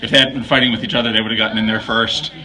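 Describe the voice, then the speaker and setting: A man giving casual interview answers as an actor and director, halting often as he thinks aloud. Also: medium pitch, steady tone, pushed and bright, poor audio.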